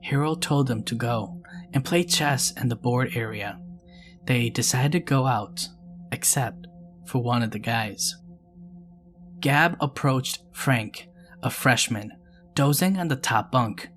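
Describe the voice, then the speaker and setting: A man hosting a storytelling channel, reading scary stories in a calm, measured way. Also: medium pitch, over music. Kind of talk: scripted narration of scary stories.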